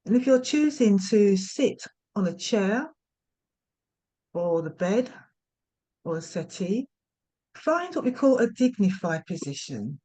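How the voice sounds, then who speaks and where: Woman gently guiding a meditation. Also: medium pitch, very animated. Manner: gentle, nurturing, unhurried invitations. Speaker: yoga nidra meditation guide. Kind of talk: guided meditation instruction.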